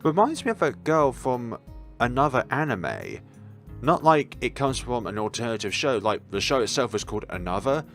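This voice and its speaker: A young man reading a visual novel aloud as a let's player, expressive but sometimes stumbling, with casual commentary of his own. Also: fairly low pitch, gravelly.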